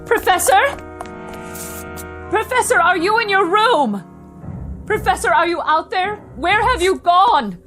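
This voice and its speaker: A woman's voice: very high-pitched, expressive, measured pace, pauses often, fairly bright tone, heavily acted. A woman acting in a radio drama as a devoted, fretful housekeeper with a fussing, deferential, emotional manner. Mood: panicked. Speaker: actor. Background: music.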